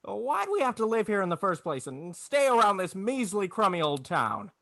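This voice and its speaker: An actor playing an agitated small-town family man in 1940s radio drama, halting and stammering as he talks. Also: high voice, wildly swooping pitch, vocal fry, a bit bright, dry recording, clean studio sound, heavily acted. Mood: irritable, frantic. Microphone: close, dry video-call microphone.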